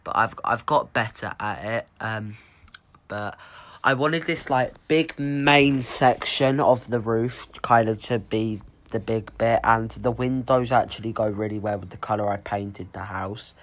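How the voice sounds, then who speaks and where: A teenage boy with a cold, sounding croaky, rambling casually with lots of ums as he talks over his own building gameplay. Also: lowish voice, a little slow, fairly bright tone. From United Kingdom. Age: teens. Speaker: gaming YouTuber.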